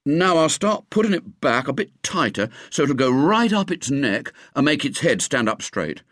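A man's voice: fairly high pitch, sing-song, moderate pace, a bit bright, no room sound, studio quality, voice pushed up. A man voicing a brash, confident schoolboy ringleader, eager and breezy.

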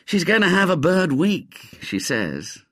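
A gloomy schoolboy, put on by a man reading aloud, pitched up and very varied in tune. He sounds resigned and colloquial, a character from a children's storybook. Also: big pitch swings, moderate pace, bright, forceful tone, dry recording, studio quality.

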